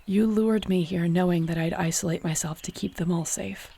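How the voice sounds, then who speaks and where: A woman performs a resolute wolf shifter heroine in a fantasy audio roleplay. Her voice is thick with fry and fairly flat in pitch, steady and defiant. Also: moderate pace. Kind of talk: audio roleplay drama acting.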